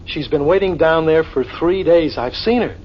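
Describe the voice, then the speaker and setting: An actor playing a breezy, streetwise buddy in a 1940s radio drama, bantering in slangy, teasing lines. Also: male voice, a little high, moderate pace, natural voice. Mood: teasing, eager. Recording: noisy muffled vintage radio recording.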